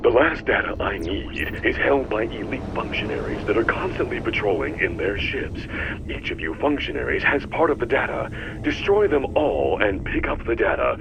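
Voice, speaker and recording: A man voicing a commanding, scheming robot newspaper boss in a video game, giving deliberate orders. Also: lowish voice, big pitch swings, gravelly, low-quality recording. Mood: brisk, pleased. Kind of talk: video game character acting.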